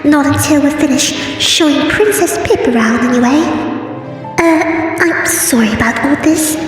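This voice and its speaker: An excitable, earnest young female pony for a cartoon dub, acted by a woman. The voice is high and chatty, set a little back from the mic. Heavy echo surrounds it, with music underneath. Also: moderate pace, close to an everyday voice. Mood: breezy, apologetic. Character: youthful female sea ponies and princesses, earnest and excitable. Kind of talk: cartoon comic dub acting. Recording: echoey reverb, distant, music behind.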